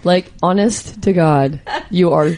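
A woman's voice: fairly low pitch, takes it slowly. Joking woman guest over noisy recording. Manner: joking, teasing storyteller. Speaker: podcast guest, soccer player. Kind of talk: casual banter among friends. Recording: clearly noisy background with clicks.

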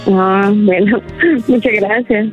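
A woman's voice: medium pitch, slow, soft background music. A woman from El Salvador with a Salvadoran accent, speaking politely and giggling a bit on a phone call. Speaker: caller on the phone.

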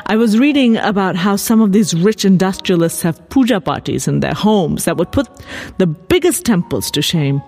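A woman's voice: mid-range voice, animated, normal speed, darkish tone. A woman reading the part of a practical, chiding wife in a short story, in a matter-of-fact way, over music. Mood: chatty, knowing. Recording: music behind.

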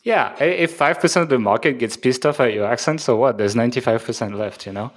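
A man's voice: medium pitch, fast talker. A man whose first language is French, co-hosting a podcast and talking casually with plenty of fillers and restarts. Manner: conversational, many fillers and restarts. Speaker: podcast co-host.

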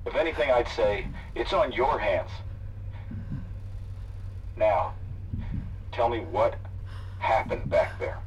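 Menacing pursuer, lowish pitch, coldly persuasive, condescending, audio drama, distant noisy radio. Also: male voice, very animated, takes it slowly, pauses often, muffled recording. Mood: coldly accusing. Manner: insistent, condescending, controlled.

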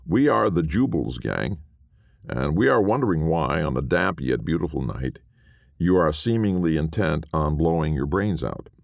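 A man voicing the polite, charming leader of a gang of ghost outlaws, speaking in a smooth, formal way. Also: extremely low pitch, animated, moderate pace, no room sound, muffled recording, heavily acted. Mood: gallant.

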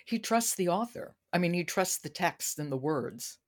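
A woman's voice: fairly low pitch, expressive, normal speed. A woman hosting a book discussion, talking conversationally and sharing her opinions. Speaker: podcast host.

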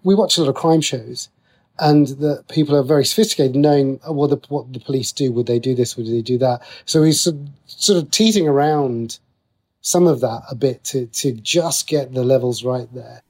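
A man's voice: medium pitch, normal speed, a little mellow. A man answering interview questions as a writer, talking casually and breaking into his own sentences as he explains. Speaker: guest, TV and radio writer.

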